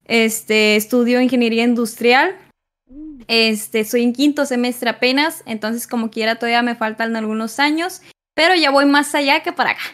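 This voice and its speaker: A woman's voice: fairly high pitch, normal speed, a bit bright. Hesitant young woman guest. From Mexico. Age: 20s. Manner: hesitant, many fillers and restarts. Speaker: content creator guest. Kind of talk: casual interview answers.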